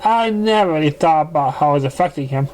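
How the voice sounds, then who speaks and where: A man voicing a dramatic, genteel female pony dressmaker in a theatrical, drawn-out, affected manner. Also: on the high side, animated, a little mellow, slight background noise, lightly acted, rough recording. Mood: defensive.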